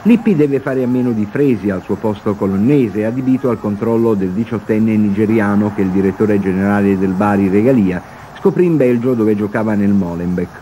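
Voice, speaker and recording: A man's voice: on the low side, fairly quick, hardly any pauses, mellow. A man reading a scripted football match report as a television sports reporter. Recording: noisy archive TV broadcast.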